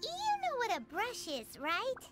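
A woman's voice: extremely high pitch, huge pitch swings, unhurried, exaggerated voice. A woman voicing a tiny, chirpy floating fairy companion in a video game, who talks about herself in the third person. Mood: chatty. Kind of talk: video game character dialogue. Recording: game audio with loud background music.

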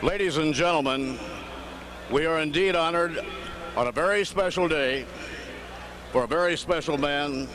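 A man's voice: a little high, moderate pace, pauses often, noisy background. A man as master of ceremonies, formally introducing speakers outdoors at a stadium. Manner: formal, announcing. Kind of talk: formal announcing.

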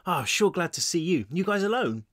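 A man's voice: high-pitched, very animated, moderate pace, fairly bright tone. A man voicing a desperate, wary armed inmate who talks in blunt street slang for a story. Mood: wary relief.

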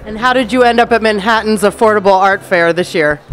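A woman's voice: medium pitch, brisk, soft background music, low-quality recording. A woman working as a TV interviewer, putting short questions to guests. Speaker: TV reporter, interviewer. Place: indoor art fair. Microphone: handheld interview mic.

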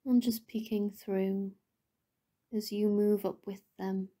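A woman leading a guided meditation in a soothing, gentle, unhurried way, softly spoken with breathy phrase endings. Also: medium pitch, level tone.

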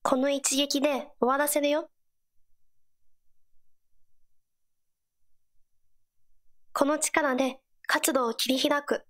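A woman plays an earnest teenage girl companion from a mobile game. The voice sits high and stays gentle. Short scripted lines come out supportive and encouraging. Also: steady tone, moderate pace, natural voice. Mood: earnest, determined. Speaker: game character voice actor.

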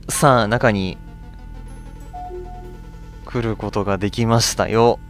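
A man's voice: lowish voice, fairly even intonation, slow pace, faint music behind. A man hosting a let's play, chatting casually to viewers and reading bits of on-screen text aloud as he goes.

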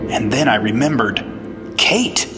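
Guy playing a serious 1950s fbi agent telling his case, old radio detective show, dramatic, loud music behind. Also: mid-range voice, sing-song, unhurried. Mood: brooding, suspenseful. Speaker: actor playing the lead and narrator.

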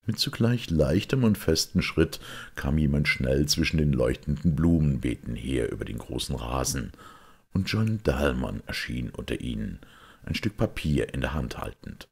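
A man narrates a detective story as an audiobook reader. His voice is extremely deep and gravelly, and his literary delivery moves through a lively range of pitch. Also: moderate pace.

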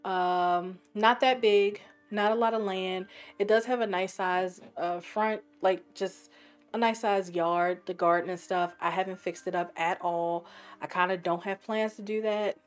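Raspy-voiced woman streamer, sore throat. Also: mid-range voice, fairly even intonation, a little slow. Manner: chatty, thinking aloud, trailing off. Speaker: game streamer. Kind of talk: casual gameplay commentary.

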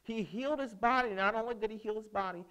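Male children's preacher, high voice, theatrical storytelling, punchy emphasis and dramatic pauses. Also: sharp tone, rough recording. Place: indoors at a lectern on a stage set.

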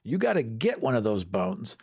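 A man's voice: lowish voice, wildly swooping pitch, moderate pace, darkish tone, slightly rough. A man co-hosting a podcast, chatting casually and off the cuff about tabletop games. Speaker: podcast co-host. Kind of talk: casual chat about tabletop game monsters.

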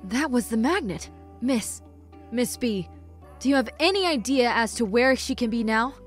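A woman's voice: on the high side, expressive, moderate pace, faint music behind, natural voice. A woman playing an eager young-hearted detective in an amateur fan film. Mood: curious. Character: eager, easily surprised female detective.

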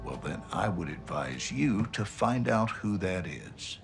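A middle-aged man playing an impatient, forceful American army general in a game cutscene, curt and commanding. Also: low voice, very animated, unhurried, vocal fry, over music, heavily acted. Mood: angry, forceful. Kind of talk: dramatic game cutscene acting.

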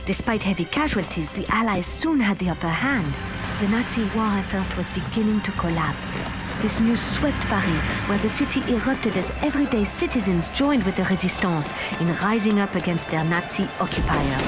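A woman reading a scripted historical narration as a documentary narrator. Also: medium pitch.

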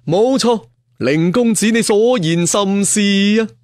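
A man's voice: high voice, slow pace, a little mellow, no room sound, clean studio sound, voice pushed up. A man voicing a courteous, self-effacing assassin and master thief in a storybook, speaking politely and formally. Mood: agreeing.

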